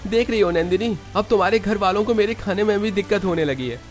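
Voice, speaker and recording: A man's voice: high-pitched, fast talker, faint music behind, voice pushed up, close to an everyday voice. A man voicing a petulant, greedy son-in-law in a cartoon, whiny and demanding. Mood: aggrieved.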